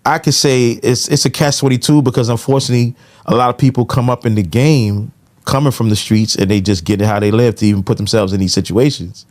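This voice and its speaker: A man's voice: mid-range voice, fairly even intonation, fast talker, darkish tone. An American man in casual podcast conversation, giving his opinions with frequent conversational tag phrases. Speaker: podcast co-host.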